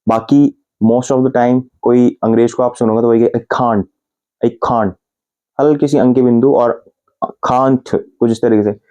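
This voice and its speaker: A man from India with an Indian accent, teaching English grammar in Hindi and patiently repeating example sentences slowly, word by word. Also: mid-range voice, fairly even intonation, dark tone, lo-fi sound.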